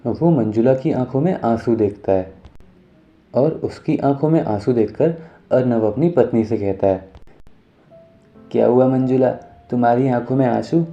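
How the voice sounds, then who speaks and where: A man narrating an animated moral story in a scripted storytelling style. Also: mid-range voice, moderate pace, muffled recording. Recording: music under the voice.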